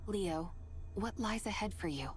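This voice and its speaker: A woman voicing a calm, formal and solemn otherworldly figure in a video game. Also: mid-range voice, measured pace, lightly acted. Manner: formal, composed. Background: game music.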